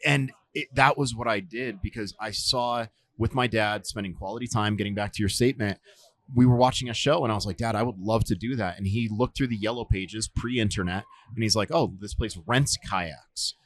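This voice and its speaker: A man in his forties, a survival instructor, telling stories in a relaxed interview, with lots of fillers and restarts. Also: on the low side, normal speed. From United States. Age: 40s. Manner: rambling, many fillers and restarts. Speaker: podcast guest, survival instructor. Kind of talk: casual storytelling interview.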